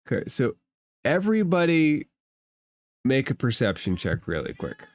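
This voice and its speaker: A man's voice: medium pitch, wildly swooping pitch, moderate pace. A man acting as game master, casually narrating and explaining a tabletop game in a conversational way.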